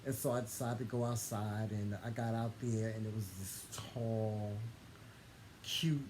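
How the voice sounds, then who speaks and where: A man's voice: on the low side, steady tone, a little slow. A man telling a personal story in a casual, conversational way, gushing affectionately and often circling back to repeat his phrases. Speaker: co-host telling a couple's story. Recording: clearly noisy room ambience.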